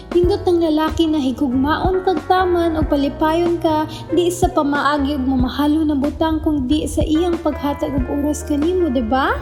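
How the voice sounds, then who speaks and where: Young woman voicing a caring cheerful girl, very high voice, long hall echo, student radio play. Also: lightly acted. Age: young adult.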